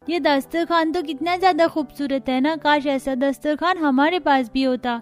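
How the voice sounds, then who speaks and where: A woman's voice: high voice, moderate pace, lightly acted. A woman voicing an eager, sweet young child in a cartoon family story. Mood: admiring. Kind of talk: Hindi cartoon story acting.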